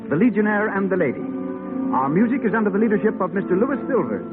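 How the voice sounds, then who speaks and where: Casting: male vintage radio announcer, high-pitched, formal and proclaiming, on a tinny old broadcast with music. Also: sing-song, fast talker. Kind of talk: 1930s radio announcing, scripted.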